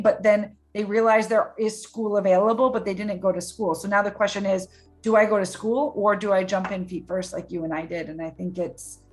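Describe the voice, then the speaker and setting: A woman hosting a video-call interview, chatty and enthusiastic. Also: mid-range voice. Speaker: interview host. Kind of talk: interview chat.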